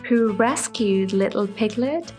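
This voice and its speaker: A woman's voice: medium pitch, animated, slow pace. A woman narrating a scripted children's bedtime story as the storyteller. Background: soft music.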